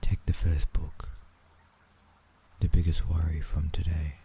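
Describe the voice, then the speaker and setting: A man with an Australian accent narrating a sleep visualization in a soothing, hushed ASMR manner, often dropping into a whisper. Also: a bass voice, flat delivery, measured pace. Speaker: guided meditation narrator. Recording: faint background noise and ambience.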